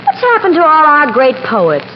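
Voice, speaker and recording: A woman with a high, very lively voice plays comic foil in scripted radio banter. The old recording is muffled, with faint noise. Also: normal speed.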